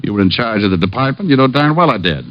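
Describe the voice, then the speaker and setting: A man's voice: medium pitch, big pitch swings, fast talker, no room sound, close to an everyday voice. An actor playing a sardonic private detective and former policeman in an old radio drama, with an American accent and dry, teasing wit. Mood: wry, probing. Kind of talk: 1940s-50s radio detective drama, narration and dialogue. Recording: dull old radio recording with music.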